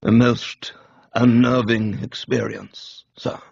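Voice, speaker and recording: A man voicing a deferential English gentleman's valet in a comic radio reading, measured, formal and deadpan. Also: mid-range voice, vocal fry, breathy edge, highs cut off, heavily acted. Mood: calm deference.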